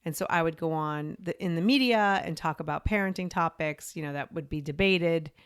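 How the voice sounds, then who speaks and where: A woman's voice: low voice, brisk, clear, resonant tone, no echo, clean studio sound. A woman in her forties, a podcast and media coach, telling her story in a chatty way full of "you know" and "like". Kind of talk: casual interview storytelling.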